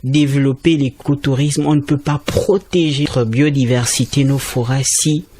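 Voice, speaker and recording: A man's voice: fairly even intonation, moderate pace, dark tone, a little hiss or hum. A man, a student trainee explaining conservation as a radio interview guest, speaking emphatically. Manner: explanatory, emphatic with rising pitch.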